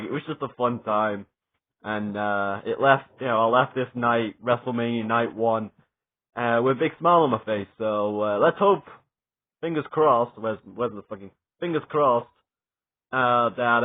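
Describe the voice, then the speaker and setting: A young adult man giving a rambling, excited spoken review, swearing often and stumbling over fillers and restarts. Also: medium pitch. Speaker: wrestling reviewer. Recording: tinny phone-like sound.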